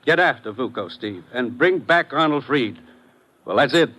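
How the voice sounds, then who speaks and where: An actor playing a brisk, authoritative intelligence commissioner in 1950s radio drama, with a formal, businesslike briefing tone. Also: male voice, medium pitch, animated, pushed and bright. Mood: commanding.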